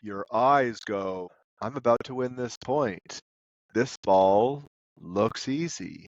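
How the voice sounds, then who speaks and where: Male coach guest, low voice, explains with emphasis, dry and slightly dull recording. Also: slow, dry recording. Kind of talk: instructive interview answers.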